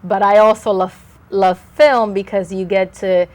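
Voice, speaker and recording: A woman's voice: mid-range voice, steady tone, unhurried, a little mellow. Woman from the Dominican Republic, chatty guest. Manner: enthusiastic, a little rambling. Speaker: actress guest. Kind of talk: casual interview chat.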